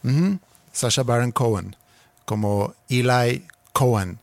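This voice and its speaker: A man's voice: medium pitch, takes it slowly, creaky, no room sound. A man co-hosting a casual podcast, mostly answering briefly with dry remarks. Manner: short prompting replies, dry remarks. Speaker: podcast co-host. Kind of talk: casual chat.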